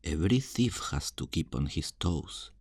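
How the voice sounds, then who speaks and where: A man's voice: expressive, unhurried, vocal fry, a little mellow, breathy edge, no room sound, clean studio sound, full character voice. A man playing a cocky, flirtatious thief in a fantasy roleplay, teasing and theatrical, speaking straight to the listener. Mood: teasing, amused. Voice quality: low voice. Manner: teasing, theatrical, smugly self-assured, uses endearments.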